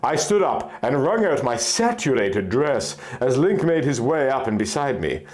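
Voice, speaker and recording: A man reading scripted fantasy fiction as an expressive story narrator. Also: medium pitch, normal speed.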